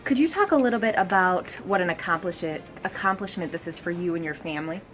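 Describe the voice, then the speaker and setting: A woman interviewing students, asking question after question briskly. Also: lowish voice, noisy background.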